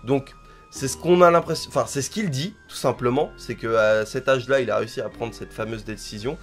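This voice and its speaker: Male manga reviewer with a cold, stuffy-nosed, mid-pitched, rambling and thinking aloud. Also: a little fast, soft background music. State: has a head cold, stuffy. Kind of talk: casual spoken chapter review.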